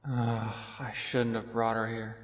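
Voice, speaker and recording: A man's voice: lowish voice, completely flat delivery, measured pace, low-quality recording. A man voicing a polite, hesitant young male pony, a protective older brother in an audio story. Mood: worried, regretful. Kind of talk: character acting in fan audio story.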